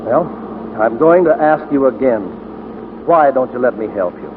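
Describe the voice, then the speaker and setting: Actor as a concerned suitor, tinny old radio. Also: male voice, mid-range voice, expressive, moderate pace, background noise, natural voice. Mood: gentle, earnest. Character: loyal, concerned suitor of an injured woman. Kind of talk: 1940s sentimental radio drama acting. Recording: tinny 1940s radio, music behind.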